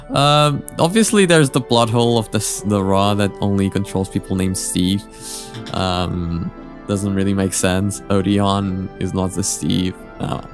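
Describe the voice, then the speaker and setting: Low-voiced man giving rambling commentary. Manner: hesitant, halting, repeats words. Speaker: reaction video host.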